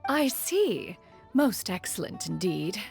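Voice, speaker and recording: A woman's voice: medium pitch, very animated, takes it slowly, creaky, a little mellow, faint music behind, heavily acted. A woman voicing a proud, aloof ancient immortal sage in a video game, with haughty, archaic, formal diction. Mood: approving, composed.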